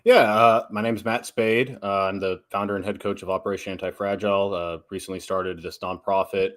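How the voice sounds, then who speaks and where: A man's voice: sits low, fairly even intonation, brisk, a bit bright. A man in his thirties, a guest telling his story in a casual interview, with lots of "uh" and restarts. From Texas and Oklahoma. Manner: many fillers and restarts, laughs easily. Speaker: guest, nonprofit founder and strength coach.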